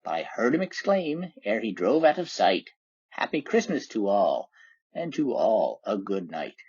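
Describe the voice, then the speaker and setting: A man reads a storybook poem aloud with a husky edge to his mid-pitched voice. His delivery is expressive, with wide rises and falls in pitch. The sound is slightly dull and lo-fi. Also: measured pace. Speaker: poem reader.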